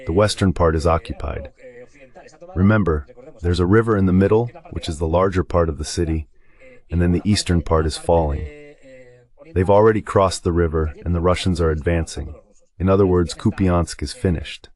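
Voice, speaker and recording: A man with a low-pitched voice delivers dubbed political commentary. He works as the translated voice of a military analyst, setting out points in spoken commentary form. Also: fairly even intonation, moderate pace, darkish tone.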